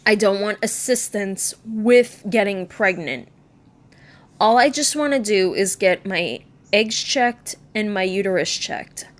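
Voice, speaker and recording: Vlogger, woman, mid-thirties, slow and hesitant, soft-spoken, lots of pauses and fillers. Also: mid-range voice. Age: 30s. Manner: slow, halting, subdued and candid, many ums and restarts. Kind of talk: personal vlog talking to camera.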